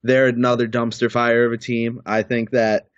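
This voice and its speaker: A man's voice: medium pitch, monotone, moderate pace, dry recording. A man giving casual draft analysis on a podcast, laid-back and a little hesitant. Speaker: podcast panelist. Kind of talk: casual sports draft chat. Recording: dull lo-fi recording.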